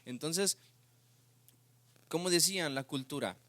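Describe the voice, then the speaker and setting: Mexican guy co-hosting, calm explaining, dead dry sound. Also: on the high side, unhurried, no room sound. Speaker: podcast co-host. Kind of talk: casual faith discussion.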